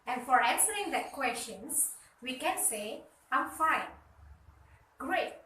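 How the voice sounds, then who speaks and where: A woman teaching a school English lesson, calmly explaining phrases and their meanings. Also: high voice, slow pace, distant mic. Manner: clear, explanatory co-presenter. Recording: echoey room, voice set back from the mic.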